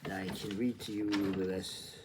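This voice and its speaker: A man's voice: sits low, level tone, normal speed, very noisy. A man doing an informal read-aloud of a literary novel, declamatory when reading and muttering rambling asides to himself.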